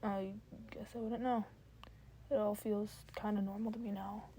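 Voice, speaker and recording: A woman voicing a guarded, awkward half-ghost teenage boy in a story reading, halting and repeating words hesitantly. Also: mid-range voice, flat delivery, mellow, slight background noise, lightly acted, poor audio.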